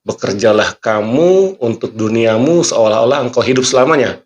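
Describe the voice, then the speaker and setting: A man hosting a motivational podcast, teaching earnestly and quoting scripture and sayings, often clearing his throat while he talks because he is not fully well. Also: medium pitch, lively intonation, normal speed, darkish tone, highs cut off. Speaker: magician and motivational speaker. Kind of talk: motivational podcast talk with a magic trick demo.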